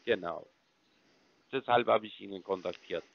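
A man's voice: on the low side, normal speed, vocal fry, no room sound, highs cut off. Man in broken German on a dry line. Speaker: call center agent. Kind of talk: sales phone call.

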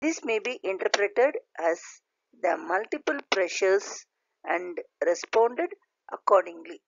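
A woman lecturer reading a scripted slide lesson aloud in a deliberate, halting way, in short phrases. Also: mid-range voice, lively intonation, vocal fry, dry recording. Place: voice-over recorded over slides. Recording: dull, lo-fi voice recording.

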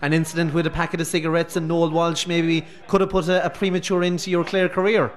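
A middle-aged Irish radio host interviewing guests live from a busy pub on a close handheld mic. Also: male voice, fairly high pitch, flat delivery. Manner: brisk, leading questions.

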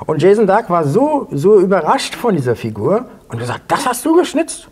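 A man in his fifties telling anecdotes as an interview guest, excitable and acting out dialogue in short bursts. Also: sits high, moderate pace, husky. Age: 50s. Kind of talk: animated anecdotal storytelling. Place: small indoor studio set at a table.

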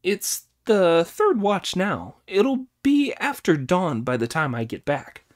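A man voicing a brash, cheeky monkey king who talks high and loud in an audiobook. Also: very animated, slow, full character voice. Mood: eager. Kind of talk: audiobook character voice.

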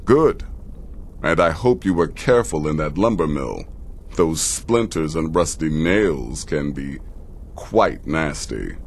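An actor playing a sardonic male assassin in a video game, dry and mocking, with a gravelly, deliberate delivery. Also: rumbling low, lively intonation, slight background noise, heavily acted. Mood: dry mockery. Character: sardonic hooded male assassin handing out contracts.

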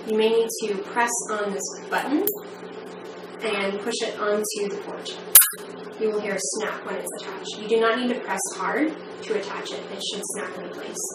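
A woman in her thirties giving a measured, step-by-step training demonstration in the manner of a methodical instructor. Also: medium pitch, steady tone. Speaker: medical device trainer. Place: small plain indoor room. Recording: echoey room, distant mic, background noise.

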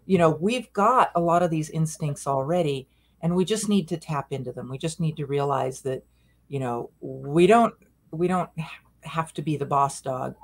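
A woman's voice: sits low, lively intonation, moderate pace. An older woman, a dog behavior consultant, chatting earnestly and self-deprecatingly on a video call from a room at home. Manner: earnest, self-deprecating, gestures while talking. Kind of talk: casual video-call chat.

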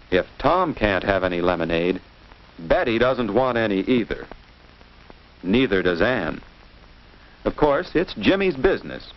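An American man reading scripted narration for a 1950s children's instructional film, in a didactic way, putting questions to young listeners. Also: mid-range voice. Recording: muffled, noisy old 1950s film soundtrack.

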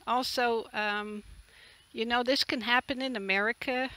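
A woman in her seventies from the Netherlands, a native Dutch speaker, giving a halting, earnest personal testimony. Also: on the high side, fairly even intonation, pushed and bright. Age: 70s. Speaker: interviewee, retreat camp owner. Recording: clear background noise, outdoor ambience.

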